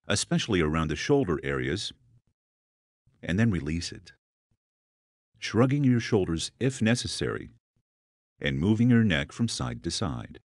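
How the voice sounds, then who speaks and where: Deep-voiced man guiding a meditation. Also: no echo, clean studio sound. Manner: slow, deliberate, soothing instruction with pauses. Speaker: meditation guide. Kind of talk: scripted guided meditation narration.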